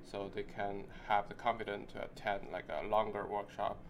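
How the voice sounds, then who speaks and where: Man, shop owner, low and monotone, halting delivery. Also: vocal fry, a little hiss or hum. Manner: hesitant, many "uh" pauses. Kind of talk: casual introduction to a group.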